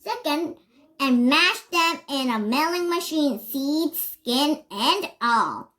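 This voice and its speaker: A child reading a children's picture book aloud, carefully and a little haltingly, stressing words as they go. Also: sits high, expressive, sharp tone. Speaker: reader.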